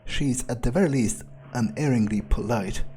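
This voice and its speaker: A man's voice: medium pitch, normal speed, dark tone, slight background noise. A man role-playing his character through a video game, musing and thinking aloud in a hesitant way. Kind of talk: in-character let's play commentary.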